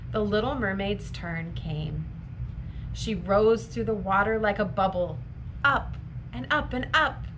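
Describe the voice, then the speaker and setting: Female storytime reader, unhurried and deliberate, mid-pitched, expressive for young listeners, with clear background noise. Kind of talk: expressive storybook reading for children.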